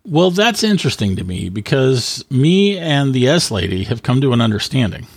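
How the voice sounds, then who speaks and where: Gravelly, husky man chatting casually. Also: mid-range voice, sing-song, no room sound, studio quality. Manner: rambling, halting, self-correcting. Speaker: tech podcast co-host. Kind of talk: casual tech podcast chat.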